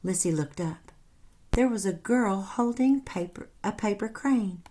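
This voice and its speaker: A woman teacher reading a storybook aloud to young children in a deliberate, instructive way with animated emphasis. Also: medium pitch. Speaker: first grade teacher.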